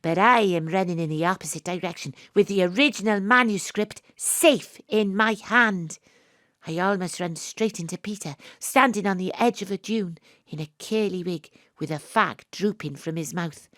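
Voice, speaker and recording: A woman's voice: lowish voice, moderate pace, a bit bright, no room sound, studio quality, close to an everyday voice. A woman playing a plucky, self-assured investigator, narrating her adventure as dramatic first-person storytelling in an audio drama. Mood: excited, breathless pace. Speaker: lead narrator.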